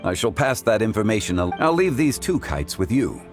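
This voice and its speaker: A man playing a composed, scholarly gentleman in a video game, speaking formally and courteously in measured phrases. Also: on the low side, sing-song. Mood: polite, musing. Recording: long reverb, music behind.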